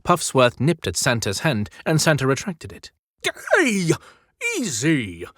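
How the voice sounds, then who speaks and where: A man's voice: mid-range voice, lively intonation, normal speed, fairly bright tone, no echo. Male audiobook narrator, dry sound. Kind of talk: scripted story narration.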